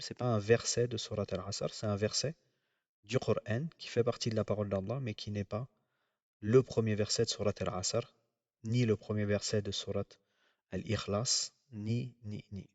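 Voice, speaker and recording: Male religious teacher, lowish voice, steady explanatory lecture, dry sound, a bit off-mic. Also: no echo, recorded from a distance. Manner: measured, explanatory, pauses often.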